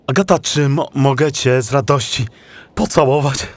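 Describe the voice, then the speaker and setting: A man's voice: mid-range voice, moderate pace, lightly acted. A man voicing a lovestruck, eager young male seal in a children's audiobook, with an earnest, emotional delivery. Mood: shy, hopeful. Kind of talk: audiobook character acting.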